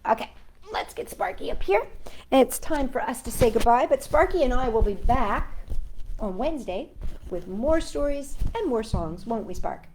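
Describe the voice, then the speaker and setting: High-pitched woman hosting children's storytime. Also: expressive, moderate pace, darkish tone, a little hiss or hum, poor audio. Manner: sing-song, addresses children and a puppet or pet companion. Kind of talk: warm-up chat and picture-book reading for young children.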